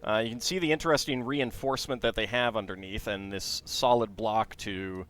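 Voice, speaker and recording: A man's voice: on the low side, moderate pace, a bit bright. A man casually chatting to his viewers while building a Lego set, reading facts aloud and talking to chat.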